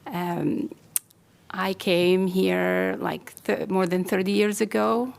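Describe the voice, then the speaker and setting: A woman's voice: medium pitch, level tone, gravelly, a little mellow. A woman from Algeria, giving brief, hesitant thanks at a city council meeting. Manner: hesitant, many pauses and restarts. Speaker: community member at public comment. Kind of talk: brief thank-you remarks.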